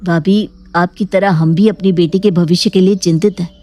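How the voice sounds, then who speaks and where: A woman's voice: lowish voice, steady tone, moderate pace, warm tone, natural voice. A woman voicing an earnest man in a cartoon family drama. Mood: defensive.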